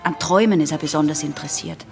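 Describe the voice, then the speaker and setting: A woman's voice: deep voice, fast, creaky, over music. An actress playing an anxious teenage girl patient in a German radio play, hesitant, secretive and intense. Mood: confiding.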